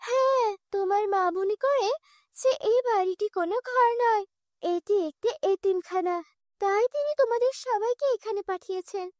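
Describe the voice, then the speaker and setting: A woman's voice: very high voice, moderate pace, dry recording, clean studio sound, voice pushed up. A woman voicing a cruel, scolding, bossy aunt in a children's cartoon story. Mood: scornful, bossy. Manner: sharp, bossy, sarcastic. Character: cruel, scolding aunt who begrudges her orphaned nieces.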